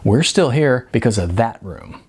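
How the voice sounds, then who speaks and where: A man's voice: mid-range voice, lively intonation, measured pace, husky, exaggerated voice. A man voicing a sarcastic, argumentative young record store clerk in an audiobook, a music snob with little patience. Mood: resigned.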